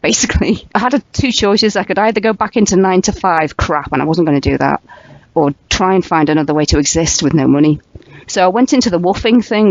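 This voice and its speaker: A woman's voice: sits low, no room sound. A woman with a light Merseyside accent hosting a radio chat, talkative and often laughing as she tells stories. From England, Wirral. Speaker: radio show host. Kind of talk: casual interview chat. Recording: dry, dull lo-fi audio.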